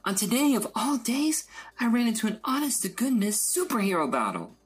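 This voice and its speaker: A man voicing an excitable young woman who livestreams, squealing with breathless excitement. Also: extremely high pitch, fairly quick, slightly rough, faint music behind, voice pushed up, full character voice. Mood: excited.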